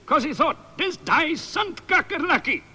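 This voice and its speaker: A man playing an excitable mock-heroic reciter in a spoof radio advert verse. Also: extremely high pitch, unhurried, exaggerated voice. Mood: melodramatic. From South Africa.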